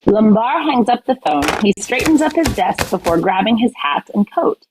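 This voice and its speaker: A woman's voice: on the high side, big pitch swings, moderate pace, noisy background. A woman reading scripted narration and stage directions for an audio play.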